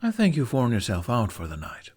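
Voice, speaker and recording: A man's voice: medium pitch, huge pitch swings, fairly quick, darkish tone, husky. A man voicing a kind, protective and playful young male adventurer in an audiobook. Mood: concerned. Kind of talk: fan fiction audiobook character voice.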